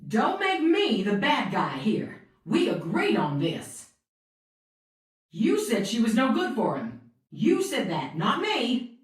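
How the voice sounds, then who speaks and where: Scheming schemer, distant and echoing. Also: female voice, on the high side, wildly swooping pitch, full character voice. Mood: menacing. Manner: deliberate, menacing, accusatory. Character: cold, scheming, threatening woman conspirator. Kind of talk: video game dramatic dialogue.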